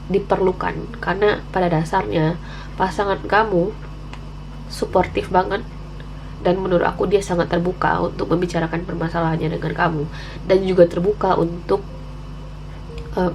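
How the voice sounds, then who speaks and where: A woman's voice: on the low side, gravelly, a little mellow, slight background noise, rough recording. An Indonesian woman with the flu and a candy in her mouth, giving a casual, candid tarot reading to viewers and dropping in English phrases. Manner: chatty, candid, mixing in English slang phrases. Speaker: tarot reader, channel host.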